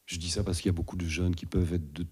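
A man's voice: low-pitched, steady tone, rapid-fire, mellow, slightly rough. A man hosting an interview, putting open questions to his guest in a conversational way. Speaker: interviewer, host.

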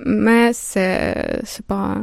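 Brief: young Ukrainian woman student, slow and halting, gravelly fry, dry sound. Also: mid-range voice, no echo. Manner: halting, laughs between phrases. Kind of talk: interview answer.

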